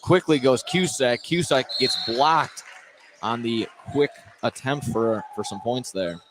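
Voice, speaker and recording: A man's voice: mid-range voice, a little slow. A man doing live play-by-play commentary of a basketball game as the announcer. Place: indoor high school gym. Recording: noisy gym ambience, bouncing balls.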